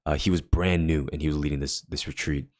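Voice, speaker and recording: A young man with a naturally deep, low-pitched voice tells a personal story on a casual faith podcast. He rambles and restarts, packing his sentences with fillers as he goes. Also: steady tone, fast, a little mellow. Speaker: podcast co-host.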